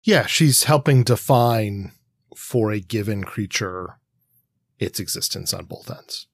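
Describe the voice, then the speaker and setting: A man co-hosting a literary podcast, musing aloud in a halting, conversational way and reworking his thoughts as he speaks. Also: on the low side, animated, creaky, dry recording. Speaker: podcast co-host. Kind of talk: conversational literary discussion of a comic.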